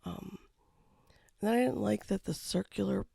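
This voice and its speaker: An artist talking casually over a painting time-lapse, with a froggy voice from a cold and a low-key, rambling manner. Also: steady tone, moderate pace, gravelly, mellow, husky, no room sound, studio quality. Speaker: watercolor artist. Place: home studio.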